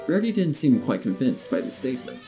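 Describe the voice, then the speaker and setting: A man reading scripted fan fiction as an expressive narrator telling a story. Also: mid-range voice, quick pace. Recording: guitar music behind the voice.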